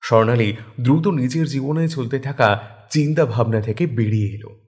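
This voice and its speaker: A man's voice: medium pitch, moderate pace, a little mellow. A man giving a scripted narration of a story. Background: background music.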